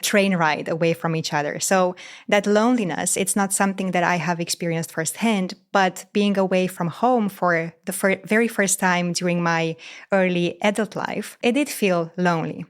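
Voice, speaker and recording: A woman in her thirties from Romania, hosting an interview and asking long, reflective questions with a warm manner. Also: on the low side, moderate pace. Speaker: podcast host. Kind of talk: interview chat.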